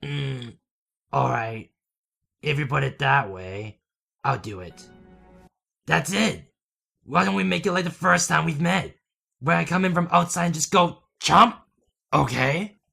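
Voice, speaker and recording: A man voicing a starving, emotional young wolf in an anime fan dub, with a gravelly voice and halting delivery. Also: on the high side, lively intonation, pushed and bright, exaggerated voice. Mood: sorrowful. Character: anguished young male wolf, starving, devoted to his friend.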